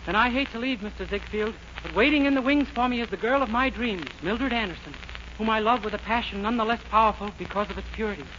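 An actor playing an earnest, gallant vaudeville star in a 1940s radio comedy, speaking in florid, formal melodrama. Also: male voice, sits high, quick pace, very noisy, lightly acted. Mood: solemn devotion. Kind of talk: 1940s radio sitcom acting. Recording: dull vintage 1940s radio broadcast.

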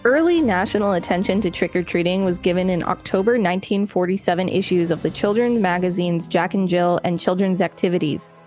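A woman's voice: fairly low pitch, darkish tone. Woman podcast host reading aloud over music. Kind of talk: reading an encyclopedia article aloud with commentary.

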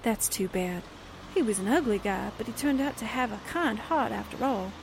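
A woman acts out a spirited newspaperwoman sidekick in an old-time radio drama. She is plain-spoken and folksy, exclaiming in a fairly high voice. There is a faint rumble in the background. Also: moderate pace, gravelly. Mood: frank.

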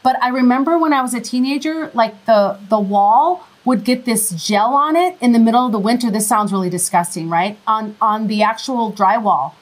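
A woman's voice: on the high side, moderate pace, hardly any pauses, rough recording. A woman from Minnesota with a Minnesota accent, hosting a casual interview with a friendly, curious manner. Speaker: host.

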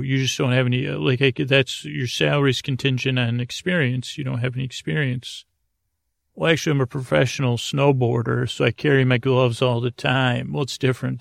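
A man hosts a bedtime podcast in a heavily fried, gravelly voice at an ordinary male pitch. He wanders through silly tangents, hesitating and restarting, often leaving sentences unfinished. The sound is dry, with no room echo at all. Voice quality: gravelly, creaky. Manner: meandering, hesitant, silly, trails off mid-sentence. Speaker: podcast host. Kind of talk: rambling bedtime monologue to help listeners fall asleep.

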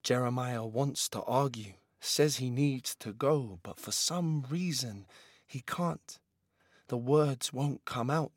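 A man narrating an audio drama in a deliberate, intense, dramatic scripted style. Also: medium pitch, dry recording, clean studio sound.

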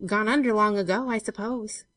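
A woman's voice: medium pitch, moderate pace, fairly bright tone, no room sound, distant mic, pitched above the performer's own voice, natural voice. Storybook male lead, played by a female reader: brooding, protective, earnest and intense, dry recording. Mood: bitter, sharp. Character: strong, brooding, protective man, tender but bitter. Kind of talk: dramatised storybook reading.

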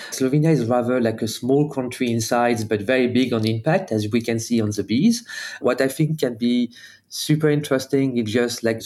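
A man's voice: medium pitch, a little mellow. A man chatting casually as a regular podcast commentator, with loose phrasing full of verbal fillers. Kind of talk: casual chat.

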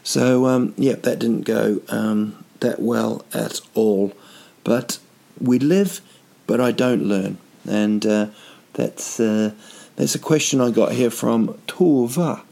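A man's voice: mid-range voice, slow pace, gravelly, dark tone. A man in his thirties, rambling through a comic vlog with dry, self-mocking asides and lots of ums. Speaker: vlogger.

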